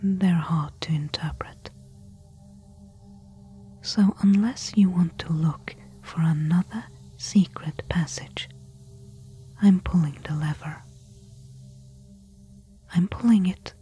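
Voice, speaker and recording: A woman playing a bold, companionable desert treasure hunter in a soft-spoken ASMR roleplay, hushed and coaxing in a breathy whisper. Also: fairly low pitch, slow pace, pauses often, a little hiss or hum. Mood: persuasive, determined.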